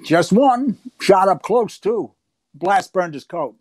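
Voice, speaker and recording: Man playing a high-voiced, folksy police detective. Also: expressive, a little mellow, no echo, heavily acted. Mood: matter-of-fact. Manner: halting, plain-spoken, pleading. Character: folksy, hesitant, conciliatory male police detective. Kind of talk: 1940s radio drama acting.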